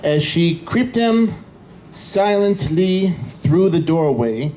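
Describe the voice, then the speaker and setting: A reader on a live convention panel, haltingly reading a story aloud. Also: mid-range voice, lively intonation, darkish tone, slight background noise.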